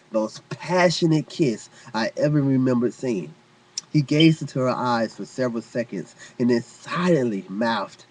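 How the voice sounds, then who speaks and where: A man with a slightly rough, husky voice at a middle pitch reads an inspirational tale. His phrasing is earnest and deliberate, and he leaves dramatic pauses as a storyteller would. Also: poor audio. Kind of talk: reading an inspirational first-person story aloud.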